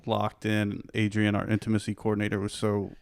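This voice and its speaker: A young man, the film's director, chatting casually through a commentary, animated and rambling. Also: fairly low pitch, fairly quick, vocal fry, no echo. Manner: animated, rambling, laughs easily. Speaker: film director.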